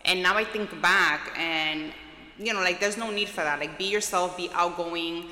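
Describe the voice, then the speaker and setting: A woman school social worker, a native Spanish speaker raised partly in Puerto Rico, recalling her childhood in a soft, hesitant way with many fillers. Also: lowish voice, normal speed, roomy sound, long reverb tail, low-quality recording. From New York, with childhood in Puerto Rico. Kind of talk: interview, personal recollection.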